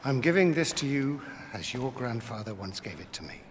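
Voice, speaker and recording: An actor playing a stern, noble middle-aged Roman father and veteran commander in a video game, speaking in a grave, measured, dramatic way. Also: mid-range voice, very animated, a little hiss or hum, close to an everyday voice. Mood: grave, fatherly. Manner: grave, measured, solemn storytelling.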